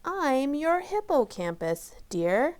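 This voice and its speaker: A woman voicing a sweet, kindly lady in a children's storybook, gentle and fondly correcting. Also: high voice, big pitch swings, slow, voice pushed up, full character voice. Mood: kindly explaining. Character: sweet, kindly bespectacled woman, a memory helper.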